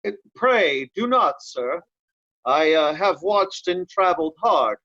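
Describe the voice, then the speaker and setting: An actor playing a weary, loyal, stubborn nobleman in a Shakespeare read-through, speaking halting verse. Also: high-pitched, lively intonation, pushed and bright, dry recording, muffled recording, exaggerated voice. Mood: weary, stoic.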